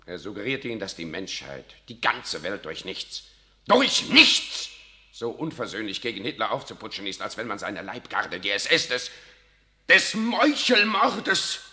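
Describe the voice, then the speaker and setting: A stern older priest superior. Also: male voice, on the low side, full character voice. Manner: authoritative, admonishing, sharp outbursts. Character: stern older male Jesuit superior, commanding. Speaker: radio drama actor. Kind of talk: 1960s radio drama acting. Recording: reverberant studio stage.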